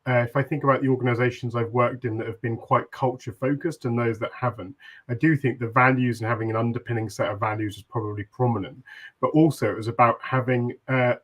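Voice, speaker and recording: This is a man at a mid-range pitch, talking his way through ideas on a webinar panel. He speaks in long, winding sentences full of "uh"s and restarts. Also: steady tone, fairly quick, fairly bright tone. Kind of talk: reflective webinar discussion.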